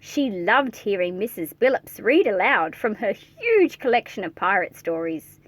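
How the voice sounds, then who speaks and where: A woman reading a children's picture book aloud in an expressive, playful way, speaking directly to her young listeners with chatty asides. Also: mid-range voice, measured pace.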